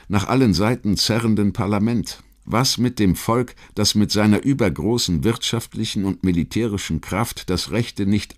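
A man with a deep, low voice narrates a scripted history documentary in a standard German accent. His reading is measured and rhetorical, placing deliberate weight on key words in the manner of a literary audiobook.

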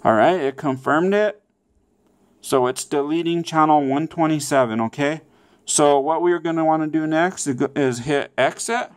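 A man's voice: fairly high pitch, normal speed, clear, resonant tone. A man presenting a casual step-by-step how-to, often checking in with okay and all right. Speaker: tutorial presenter.

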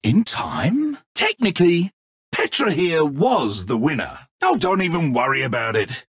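A flamboyant, godlike game villain, with a clean, smooth voice that swings wildly from low to high. He draws his words out slowly, mock-friendly and condescending, full of petty ego. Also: big pitch swings, fairly bright tone, dry recording, clean studio sound, full character voice. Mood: petulant, condescending. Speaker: video game voice actor. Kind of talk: theatrical video game character acting.

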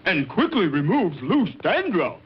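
An actor playing a comic, helpful dragon in a 1950s cartoon commercial. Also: male voice, sits high, huge pitch swings, a little slow, full character voice. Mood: comic, emphatic. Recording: muffled, very noisy old film soundtrack.

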